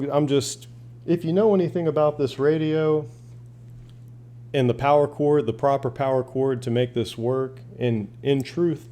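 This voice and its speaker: Casting: male hobbyist, warm soft-edged voice, unscripted, folksy, plain-spoken, happy to ramble while demonstrating equipment. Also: medium pitch. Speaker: shortwave radio hobbyist asking viewers for advice. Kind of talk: casual unscripted demonstration and chat.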